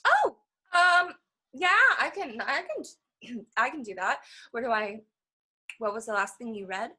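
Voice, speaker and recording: Woman acting a bright, flustered young aspiring novelist. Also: on the high side, animated, measured pace, breathy edge, exaggerated voice. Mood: flustered, earnest. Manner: hesitant, flustered, stammering, rambling. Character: nervous, eager young female aspiring novelist. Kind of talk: one-act stage play dialogue.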